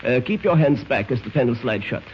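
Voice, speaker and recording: A man's voice: mid-range voice, expressive, lightly acted. Man playing a suave, menacing spymaster, swooping delivery. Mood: smooth, commanding. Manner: courtly, deliberate, formal phrasing. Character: suave, menacing male foreign spy ring leader. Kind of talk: 1940s radio drama acting. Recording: dull, noisy old radio recording.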